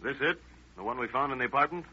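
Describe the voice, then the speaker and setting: An actor playing a stern, dry-humoured police detective questioning suspects in an old radio crime drama. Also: male voice, mid-range voice, expressive, fast talker, sharp tone, husky, heavily acted. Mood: probing, dry. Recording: muffled old radio broadcast.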